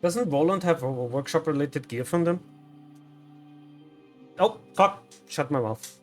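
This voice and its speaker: A man's voice: a little high, lively intonation, a little slow, faint music behind. A man from Brazil whose first language is Portuguese, chatting rambly and swearing casually while streaming a game. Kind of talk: casual live gameplay chat.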